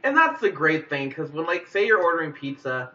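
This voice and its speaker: Male radio co-host, early twenties, American, casual, fairly high voice, distant from the mic. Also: big pitch swings, fairly quick, sharp tone. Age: early 20s. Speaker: radio sports show co-host. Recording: distant, muffled, noisy radio capture.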